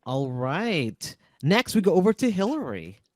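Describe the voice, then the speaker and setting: A man's voice: high-pitched, sing-song, unhurried, dry recording, studio quality. A man hosting a roundtable, casually moderating and handing over between guests. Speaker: host of a voice actors roundtable.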